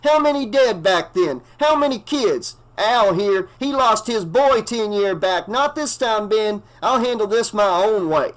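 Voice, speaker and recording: A man voicing an angry small-town bartender who shouts defiantly. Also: high-pitched, big pitch swings, unhurried, hardly any pauses, voice pushed up, heavily acted, poor audio.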